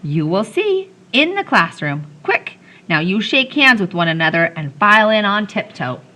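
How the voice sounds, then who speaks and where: A woman playing a scolding brisk nun. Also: medium pitch, very animated, bright, forceful tone, pitched above the performer's own voice.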